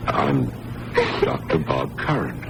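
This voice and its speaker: A man's voice: a bass voice, very animated, takes it slowly, gravelly, full character voice. A man playing an earnest, patient doctor in a 1980s radio drama, on a noisy old broadcast recording. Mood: soothing. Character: Bob Curran, earnest patient male doctor, also narrating.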